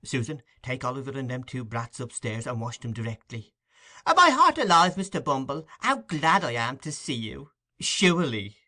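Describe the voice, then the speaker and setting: Man reader doing fawning scheming matron, high shrill put-on voice, wheedles and gushes. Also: big pitch swings, normal speed, pushed and bright. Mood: gushing. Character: fawning scheming middle-aged woman nurse.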